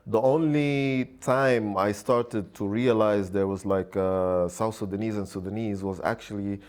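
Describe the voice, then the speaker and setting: Soft-spoken man with a lowish voice. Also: fairly even intonation, measured pace. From Lebanon. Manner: hesitant, many fillers like "like" and "uh". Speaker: podcast guest. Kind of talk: casual interview storytelling.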